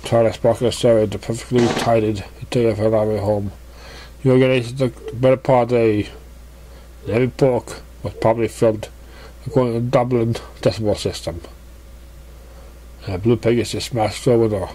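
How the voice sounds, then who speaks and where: A narrator reading a story aloud in an expressive, scripted style, acting out the dialogue. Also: medium pitch, a little fast, slight background noise, poor audio. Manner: expressive, acts out character dialogue. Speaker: audiobook-style story reader.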